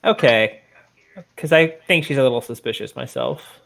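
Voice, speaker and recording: A man's voice: mid-range voice, moderate pace, creaky, a bit bright, a little hiss or hum. A man playing a tabletop game, chatting casually in an inquisitive, questioning way. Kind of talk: casual in-character discussion.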